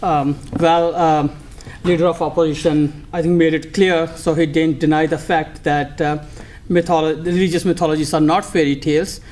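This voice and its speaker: A man's voice: a little high, level tone, moderate pace, a little mellow, a little hiss or hum. A man making an impromptu debate argument, hesitant and repetitive as he searches for words. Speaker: debater, prime minister in a mock debate. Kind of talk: off-the-cuff debate speech.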